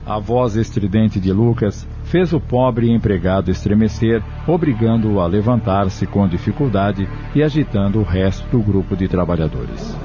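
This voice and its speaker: A man's voice: lowish voice, expressive, normal speed, few pauses. A man narrating a scripted audio novel in a dramatic storytelling style. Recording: dull, radio-like lo-fi audio. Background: soft music.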